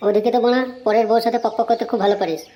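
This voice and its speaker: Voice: mid-range voice, steady tone, rapid-fire, noisy background, close to an everyday voice. A performer voicing a gossipy young male sidekick in a comedy cartoon, agreeable and teasing. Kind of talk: amateur comedy cartoon dialogue.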